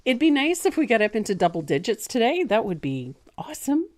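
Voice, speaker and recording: A woman in her sixties who chats through a painting lesson with a lively, swooping voice. She laughs often and pokes fun at herself in a relaxed, easygoing way. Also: fairly high pitch, brisk, a little hiss or hum. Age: 60s. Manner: chatty, laughs often, self-deprecating. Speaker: decorative painting teacher. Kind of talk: casual livestream painting lesson.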